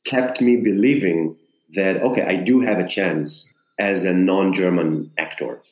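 Man from Israel, actor, earnest, self-correcting, low-pitched, roomy echo. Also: a little slow, darkish tone. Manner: earnest, searching for words, frequent restarts. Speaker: actor, guest. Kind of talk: conversational interview.

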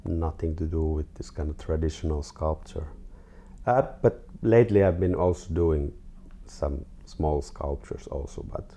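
A 42-year-old man from Finland with a Finnish accent, talking casually and haltingly as an interview guest. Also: extremely low pitch, warm tone. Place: artist's studio.

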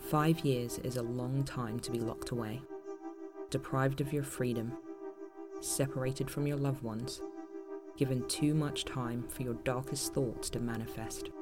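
A man narrating a dark audio drama anthology as a grave, ominous storyteller, measured and solemn. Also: medium pitch, fairly even intonation, lightly acted. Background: prominent music.

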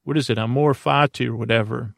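A man hosting a sleep podcast in a creaky voice, gently reassuring as he rambles, halts, repeats himself and trips over words in a soothing monologue. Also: mid-range voice, steady tone, brisk, no room sound, studio quality. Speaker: podcast host.

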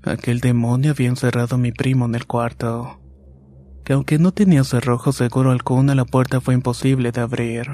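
A man narrating horror stories from a script in a calm, even reading. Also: medium pitch.

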